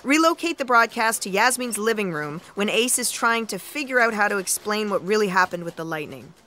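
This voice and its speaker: A woman's voice: mid-range voice, lively intonation, fairly quick, bright, forceful tone. A woman playing an imperious, businesslike boss in a sci-fi audio comedy drama. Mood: decisive. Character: imperious female boss editing the book of destiny.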